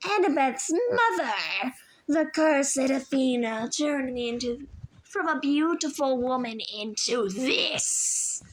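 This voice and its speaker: Lady putting on a sweet creepy old monster granny, high purry voice, super slow and coaxing, storybook vibe. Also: lively intonation, low-quality recording. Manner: soothing, coaxing purr. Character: sweetly menacing old female snake-haired monster.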